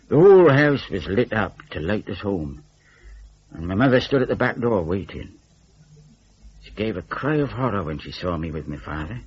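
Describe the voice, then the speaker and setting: Male narrator for a suspenseful ghost tale: husky, expressive range, dramatic delivery, slightly muffled lo-fi recording. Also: lowish voice. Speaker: story narrator. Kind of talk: scripted narration of a supernatural horror tale.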